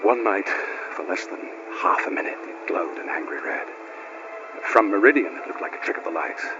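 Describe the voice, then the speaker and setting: A man's voice: fairly low pitch, expressive, normal speed, noisy background, natural voice. An actor playing a solemn, devout man of standing in a video game, speaking in a formal storyteller's manner. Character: solemn, devout male dignitary recounting strange events. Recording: dull audio with music behind.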